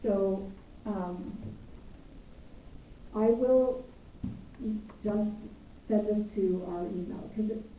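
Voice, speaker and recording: A woman co-hosting a casual weekly work meeting, chatty and quick to laugh, often trailing off mid-sentence. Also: medium pitch, dark tone, distant mic, slight background noise. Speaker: co-host of a weekly progress meeting. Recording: echoey dull room sound from off mic.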